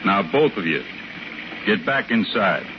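A man's voice: lowish voice, sing-song, unhurried, loud music behind the voice. An actor playing a menacing rustic gunman in a 1950s radio drama, curt and threatening, with folksy, ungrammatical drawling speech. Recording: noisy, muffled 1950s radio recording.

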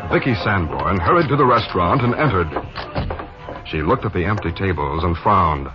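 A man's voice: low voice, animated, normal speed, over loud music. An American man narrating a 1950s radio drama as its announcer. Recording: muffled 1950s radio recording.

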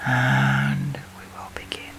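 A woman leads a guided sleep meditation in a soft, breathy, near-whispered voice, soothing, with long pauses between phrases. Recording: steady storm and rain noise. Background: rain and storm.

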